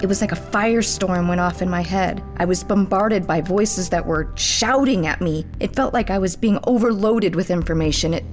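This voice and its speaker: Actress doing a troubled immortal woman, low voice, intense n deliberate, sci-fi audio drama w/ faint music. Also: close to an everyday voice. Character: immortal woman, clone of an ancient leader, earnest, troubled.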